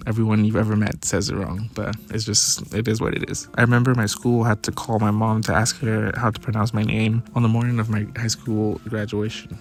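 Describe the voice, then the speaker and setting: A young man from Ghana talking casually to his viewers in a relaxed, chatty way. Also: fairly low pitch, fairly quick, hardly any pauses. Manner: relaxed, chatty, with many restarts and fillers. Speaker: vlogger. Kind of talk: casual talk to camera.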